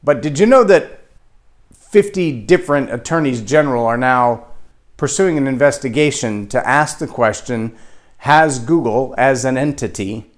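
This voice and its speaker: Animated Texan man giving a leadership talk. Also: mid-range voice, moderate pace. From Texas. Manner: animated, acts out quoted voices. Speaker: leadership speaker. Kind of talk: motivational talk to camera.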